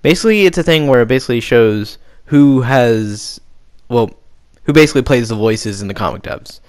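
Hesitant young-sounding man, lively pitch. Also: moderate pace, no room sound, clean studio sound. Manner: hesitant, rambling. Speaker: host and amateur voice actor. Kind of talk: casual spoken introduction to a voice reel.

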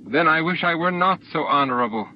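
A man's voice: on the high side, animated, fairly quick, pitched above the performer's own voice, natural voice. A man voicing an honorable, troubled male alien in an audiobook, earnest and formal in his speech. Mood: anguished. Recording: dull noisy audiobook recording.

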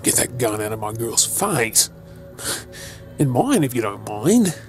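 A man's voice: medium pitch, big pitch swings, unhurried, faint music behind. A man playing a battered, protective werewolf boyfriend in an audio drama, earnest and strained in his delivery. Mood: defiant, alarmed. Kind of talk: audio roleplay drama acting.

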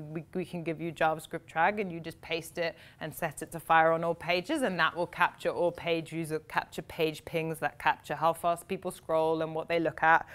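A young woman answers questions at a tech meetup in a slightly low voice. She explains fluently and briskly, dropping in casual fillers as she goes. She is speaking live in a meeting room with an audience. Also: fairly bright tone.

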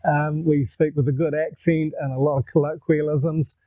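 An older man with a New Zealand accent talks easily to camera, telling stories in a relaxed, chatty way with a slightly raised voice. The lapel recording sounds narrow and tinny, like a phone line. It is dry, with no room echo. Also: on the high side, moderate pace. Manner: relaxed, conversational, gestures while talking. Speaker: vlogger. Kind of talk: casual storytelling to camera. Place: outdoors in a garden. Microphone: lapel mic.

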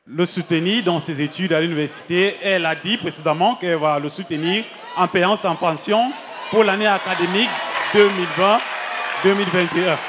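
A man working as the interpreter at a church service, relaying each testimony in an even, summarizing manner. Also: high voice, fast, crowd noise. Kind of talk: consecutive interpreting.

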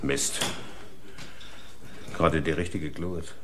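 An actor playing an aging, wry art thief in prison in a German radio crime drama. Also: male voice, rumbling low, steady tone, takes it slowly, a little hiss or hum. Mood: grumpy, wry. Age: older. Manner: wry, sardonic.

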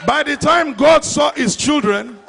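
Nigerian guy preaching hard in church, really high voice w/ lots of gravel, punches his phrases. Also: unhurried, background noise. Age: middle-aged. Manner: emphatic, declamatory, repeats phrases for effect. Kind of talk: impassioned sermon. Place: large church hall before a congregation. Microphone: handheld microphone. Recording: live church PA with faint crowd.

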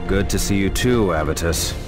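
An actor playing a bold, eager, cocky space marine sergeant with a brash and confident delivery. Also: male voice, low-pitched, moderate pace, lightly acted. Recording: loud music and gunfire behind.

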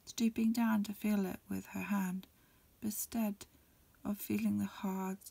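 A woman reading a storybook aloud as ASMR in a breathy, mostly whispered voice, a little hesitant, with small stumbles. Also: mid-range voice, little pitch movement, measured pace, warm tone, no room sound. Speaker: amateur reader. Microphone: close recording, lo-fi home setup.

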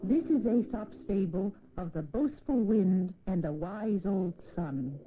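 A woman narrating a fable for children as a storyteller, in an expressive, deliberate, scripted style. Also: mid-range voice, narrow, tinny audio. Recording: noisy old 16mm educational film soundtrack.

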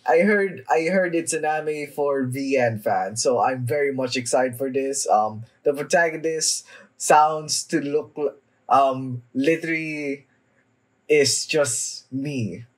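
Young male anime reactor, slightly sick, slow and hesitant, casual commentary with a mid-pitched voice. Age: young adult. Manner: halting, hesitant delivery. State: somewhat sick with a cough. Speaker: anime reaction video host. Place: small indoor room at home.

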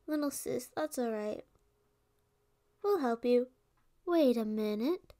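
A woman's voice: on the high side, expressive, takes it slowly, dark tone. A woman playing a protective, playful resurrected witch in an ASMR roleplay, speaking in a sweet, theatrical way. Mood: soothing.

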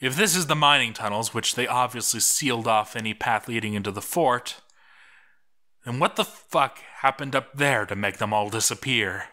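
A man's voice: medium pitch, normal speed, bright, forceful tone, lightly acted. A man playing a doomed dwarf diarist, bitter, sardonic and sweary, unravelling as he reads out his journal. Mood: broken, desperate. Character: doomed male dwarf expedition diarist, bitter, cracking into madness. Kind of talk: dramatic journal reading.

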